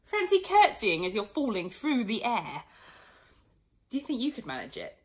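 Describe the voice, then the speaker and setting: A young woman reading a children's story expressively, with dramatic emphasis and hushed drops. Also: a little high, huge pitch swings, moderate pace. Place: small indoor room.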